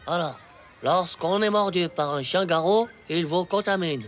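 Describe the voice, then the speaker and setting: Cartoon lead: man playing boastful excitable schoolboy, high-pitched, naive swagger, over music. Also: normal speed, natural voice. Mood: excited. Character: cocky, naive ten-year-old schoolboy, boastful dreamer. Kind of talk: animated cartoon dialogue.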